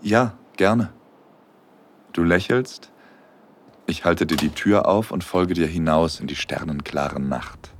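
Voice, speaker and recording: A young man in love, in a romantic audio drama. His voice is deep and low. He speaks tenderly and intimately, as a smitten, slightly nervous storyteller. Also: slow, long pauses, slight background noise. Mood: warm, slightly shy. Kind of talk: intimate first-person audio drama narration and dialogue.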